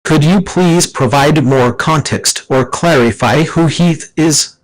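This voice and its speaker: A man speaking in a formal, measured, neutral manner, reading scripted explanations like an AI assistant. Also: on the high side, poor audio.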